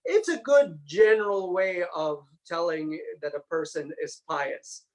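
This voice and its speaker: A man with a slightly high-pitched voice lectures on religion in a scholarly style. He explains in measured, halting phrases, building each point piece by piece. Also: rough recording.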